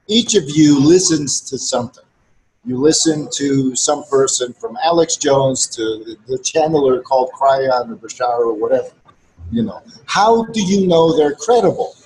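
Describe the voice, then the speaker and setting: A man's voice: mid-range voice, a little mellow, slight background noise, rough recording. Blunt, earnest Greek-native man lecturing. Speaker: regular co-host. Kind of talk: casual conversation to listeners.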